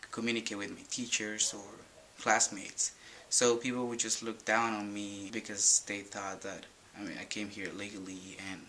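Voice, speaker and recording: A man's voice: on the low side, pushed and bright, a little hiss or hum. A nineteen-year-old man from Guatemala with a Guatemalan Spanish accent, giving an earnest personal testimony about finding his voice. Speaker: youth program participant.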